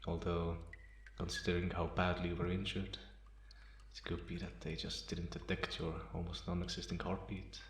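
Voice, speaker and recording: A man playing a calm, teasing half-vampire in an ASMR roleplay, pausing often and sounding softly amused and restrained. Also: deep voice. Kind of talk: slow ASMR roleplay monologue. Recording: roomy echo, faint background noise.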